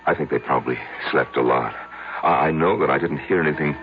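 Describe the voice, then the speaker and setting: Very deep-voiced haunted man. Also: fast talker. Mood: troubled, halting. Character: haunted man confessing a dark story to his psychiatrist. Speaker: narrator of the story. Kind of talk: radio drama acting. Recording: muffled old radio broadcast, loud music behind.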